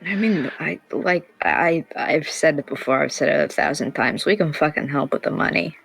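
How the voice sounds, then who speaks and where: Casting: slightly high, gravelly, bright male player, blunt and profane. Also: lively intonation, moderate pace. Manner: blunt, swears freely. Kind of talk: casual chat.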